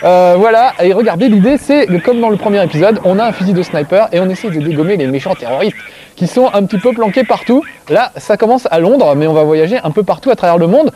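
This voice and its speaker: A man's voice: sits high, expressive, quick pace, mellow, a little hiss or hum. Joking French-speaking man with a Corsican accent. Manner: joking, self-mocking, chatty. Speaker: video game show host. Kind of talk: casual gameplay commentary to camera. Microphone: close framing, tight on face.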